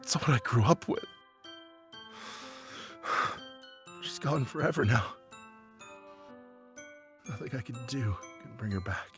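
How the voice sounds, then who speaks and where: A man playing an anguished teenage schoolboy who narrates a visual novel fan dub in a halting, emotionally raw way. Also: mid-range voice, animated, music in the background, lightly acted. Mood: devastated.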